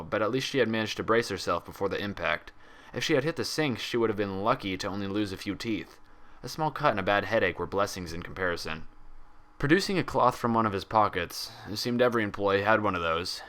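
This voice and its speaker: A man reading a story aloud as scripted, expressive narration. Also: fairly low pitch, fast. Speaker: fan fiction reader.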